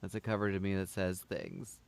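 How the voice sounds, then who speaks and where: A man's voice: low-pitched, level tone, a little fast, vocal fry. A man hosting a book show, chatting casually with guests on a live stream. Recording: some background noise.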